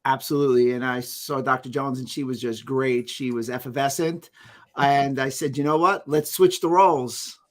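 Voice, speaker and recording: Male host, upbeat, mid pitch, reads intros with quick asides. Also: steady tone. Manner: upbeat, reads guest bio with small asides. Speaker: podcast host. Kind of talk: interview introduction and questions.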